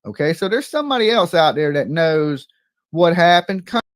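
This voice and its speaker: A man with a somewhat high voice hosts a live call-in stream. He talks bluntly and confrontationally in colloquial, streetwise phrasing, and the sound is very dry. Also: expressive, moderate pace, no echo. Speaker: live-stream host taking calls.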